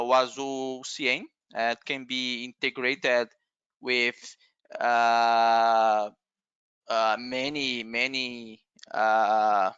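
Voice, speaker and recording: A man whose native language is Portuguese, giving a webinar talk haltingly with many filler sounds over a video call. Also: medium pitch, fairly bright tone, clear, resonant tone, dry recording, highs cut off. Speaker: guest speaker.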